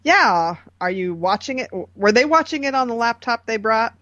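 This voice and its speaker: A man's voice: extremely high pitch, lively intonation, moderate pace, pushed and bright, dry recording, lo-fi sound. A man co-hosting a podcast, chatting casually in short reactions. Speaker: podcast co-host.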